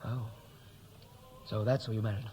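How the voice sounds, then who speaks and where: A man's voice: on the low side, flat delivery, normal speed, mellow, lightly acted. An actor playing a regretful, restless Welsh-born man home from America, hesitant and dry, in a 1960s radio drama. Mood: probing. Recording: noisy old radio play recording.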